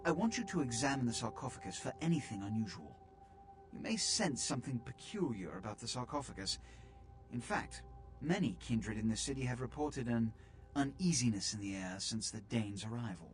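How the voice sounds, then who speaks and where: An actor playing a pompous, aristocratic vampire prince in a video game, speaking formally with cold command. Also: male voice, mid-range voice, very animated, fairly quick, faint music behind, lightly acted. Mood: stern, commanding. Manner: pompous, formal, precisely worded. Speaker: game character giving orders. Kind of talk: theatrical video game acting.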